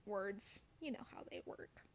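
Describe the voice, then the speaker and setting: A woman's voice: medium pitch, wildly swooping pitch, a little slow, creaky, slight background noise. A woman streamer chatting casually over gameplay in a playful, wryly self-deprecating way.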